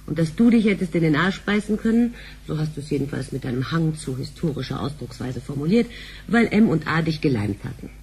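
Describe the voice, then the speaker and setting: A woman playing a sharp-tongued wife in a sci-fi radio drama, mocking and needling. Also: low-pitched, sing-song, a little fast, a little hiss or hum. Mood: exasperated, sarcastic. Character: sharp-tongued, sarcastic wife nagging her husband.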